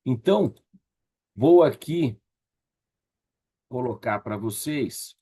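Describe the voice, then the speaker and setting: A Brazilian man with a slightly husky voice teaching an online class in a conversational, encouraging way, often checking in with his listeners. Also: mid-range voice, expressive, slow pace, warm tone. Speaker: course instructor leading an online workshop. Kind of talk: casual interactive online teaching.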